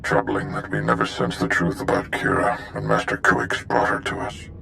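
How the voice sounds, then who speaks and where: An ancient, forgiving alien sage for a video game. The voice is abyssally low and gravelly, swinging widely in pitch with a forceful edge, over a rumbling background. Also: male voice, very animated, moderate pace, slight background noise, exaggerated voice. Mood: calm, thoughtful. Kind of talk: video game cutscene acting.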